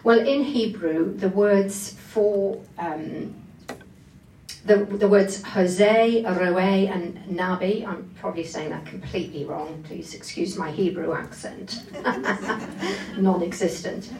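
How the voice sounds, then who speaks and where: A woman's voice: on the low side, takes it slowly, darkish tone, a little hiss or hum. A woman giving an expository Bible sermon, explaining carefully and leaning on key phrases. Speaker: preacher giving a Bible talk. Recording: echoey room, distant microphone.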